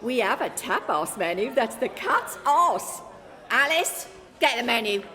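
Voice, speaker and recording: A woman's voice: on the high side, very animated, measured pace, bright, forceful tone, exaggerated voice. A woman playing a feisty, foul-tempered London pub landlady in a comic radio play, slangy and threatening. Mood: brash. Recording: hall reverb, crowd behind.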